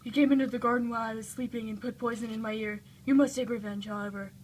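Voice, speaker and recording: A woman's voice: a little high, steady tone, fast, a little hiss or hum, natural voice. A woman voicing the urgent, vengeful ghost of a murdered king in an amateur puppet show.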